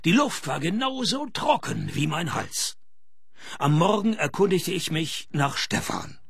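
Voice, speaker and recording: An actor playing a wisecracking, hard-up private detective in a radio play, with dry deadpan delivery. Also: male voice, a little high, unhurried, vocal fry, breathy edge, exaggerated voice. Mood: wry narrating. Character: wisecracking, cash-strapped male private detective. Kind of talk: radio play dialogue and first-person noir narration.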